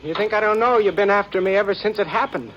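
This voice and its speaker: An actor playing a nervous, treacherous small outlaw in a radio western, with folksy, hesitant rural speech on an old recording. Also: male voice, high-pitched, fast talker, lo-fi sound, a crowd in the background, lightly acted. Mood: menacing, agitated. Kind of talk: 1950s radio western acting. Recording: noisy vintage radio recording.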